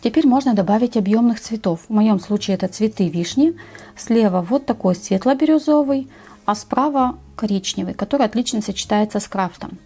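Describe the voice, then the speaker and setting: A woman narrating a step-by-step craft tutorial in a measured, explanatory way. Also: medium pitch, warm tone, husky.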